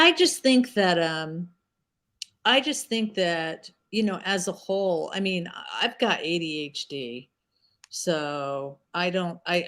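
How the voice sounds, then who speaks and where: Lively storytelling woman in her fifties. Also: sits low. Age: 50s. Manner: animated storyteller, laughs often. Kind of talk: casual chat.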